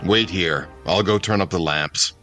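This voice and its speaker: A man's voice: low voice, measured pace, over music. A man playing an irritable, guarded morgue keeper in an audio drama, curt, formal and scornful. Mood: grudging, stern.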